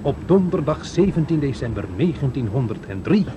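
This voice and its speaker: An actor playing a calm, reassuring and wry inventor in a 1970s radio play. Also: male voice, mid-range voice, normal speed, noisy background, natural voice.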